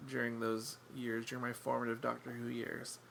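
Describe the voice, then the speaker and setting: A man with a gravelly, bright tone talks casually as a podcast guest. His manner is wry and self-deprecating, and he rambles with plenty of ums and restarts. A faint hiss sits under his voice. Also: mid-range voice, monotone, moderate pace. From England.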